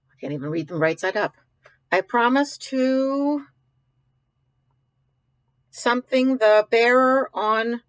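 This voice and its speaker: Female storyteller for young children, slow delivery, informal asides and simple questions, dry recording. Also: fairly high pitch, fairly bright tone, dry recording, rough recording. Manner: questioning, musing aloud, explaining simply. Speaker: storyteller reading a picture book to children. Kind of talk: read-aloud with informal commentary to young children.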